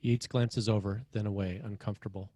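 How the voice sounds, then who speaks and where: A male narrator reads a screenplay's stage directions and scene headings. His voice is fairly low, and the recording is dry with little room sound. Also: fairly even intonation, fairly quick, warm tone, dry recording. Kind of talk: scripted narration of screenplay action.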